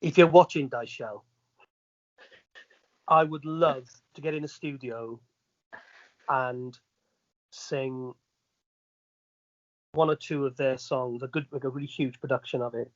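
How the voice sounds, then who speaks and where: A man's voice: medium pitch, steady tone, a little slow, long pauses, no echo, muffled recording. A middle-aged Welsh man chatting casually as an interview guest, telling anecdotes and checking that the listener follows. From Wales.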